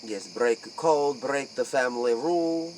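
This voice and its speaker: A solemn vampire of an old Transylvanian clan played by a man speaks slowly in broken English. He halts and repeats himself, in a spoof role play with faint noise and chirping insects. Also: mid-range voice, a little mellow, lightly acted. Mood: explaining, halting. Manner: halting, repetitive broken English.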